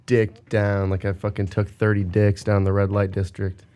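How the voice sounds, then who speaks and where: A man's voice: lowish voice, completely flat delivery, normal speed, mellow, a little hiss or hum. A young man co-hosting a podcast in casual, crude, joking chat, drowsy and with a sore throat, on a desk mic with a pop filter. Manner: crude, joking, swears a lot. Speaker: podcast co-host.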